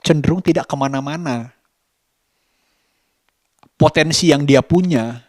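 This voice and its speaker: A man with a colloquial Jakarta Indonesian accent talks reflectively and casually to camera as a vlogger, halting and thoughtful as he searches for words. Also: medium pitch, darkish tone. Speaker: vlogger and parent.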